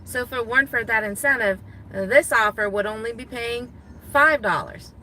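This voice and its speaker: A woman from Guam chatting casually to viewers from her car, thinking out loud and laughing easily. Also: a little high, normal speed, a little hiss or hum, rough recording. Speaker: gig delivery driver vlogger. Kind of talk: casual talking to viewers while driving. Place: inside a parked car.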